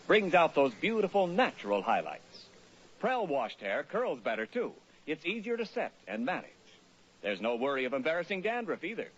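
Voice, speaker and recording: A man's voice: fairly high pitch, slightly rough. An American man announcing a 1940s radio advertisement in a polished, emphatic sales delivery. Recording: muffled old radio broadcast.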